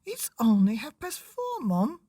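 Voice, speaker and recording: A man's voice: very high-pitched, wildly swooping pitch, unhurried, warm tone, slightly rough, no room sound, studio quality, voice pushed up, exaggerated voice. A man voicing an eager, pleading young boy in a children's storybook, with a questioning, childlike manner. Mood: protesting.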